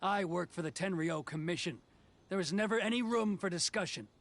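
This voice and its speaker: Gravelly, haughty stern officer. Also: male voice, high-pitched, brisk, a bit bright. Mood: dismissive. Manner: clipped, haughty. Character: stern, proud commission officer and swordsman, coldly dutiful. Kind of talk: English video game voice acting.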